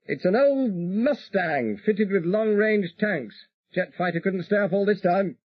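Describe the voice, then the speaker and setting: A man voicing a brisk, cheerful male pilot heard over a faint radio, clipped and breezy. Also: high-pitched, animated, dry recording, lo-fi sound, voice pushed up.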